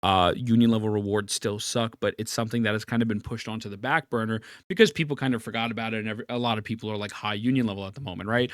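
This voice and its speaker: A man's voice: on the low side, fairly even intonation, racing, few pauses, dry recording, studio quality. A man streaming live, reacting to a video and chatting casually with his viewers, rambling and putting himself down while greeting chat. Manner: rambling, self-deprecating, greets chat often.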